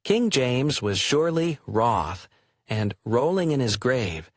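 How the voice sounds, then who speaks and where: A man's voice: mid-range voice, sing-song, takes it slowly, dry recording, clean studio sound. A man performing theatrical spoken-word poetry in a wry, declamatory way.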